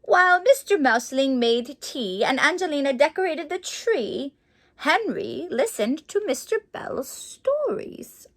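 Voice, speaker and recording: A woman playing a sweet, excitable Mrs. Claus, reading a children's story aloud with gushing, exclamatory asides. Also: high voice. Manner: gushing, exclamatory, drawn-out delivery.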